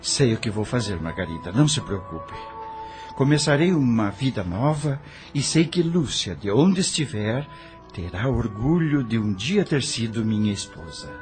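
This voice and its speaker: A man's voice: mid-range voice, big pitch swings, moderate pace, music in the background, close to an everyday voice. A man playing an earnest, resolute widowed doctor in an audio drama. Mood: resolute grief.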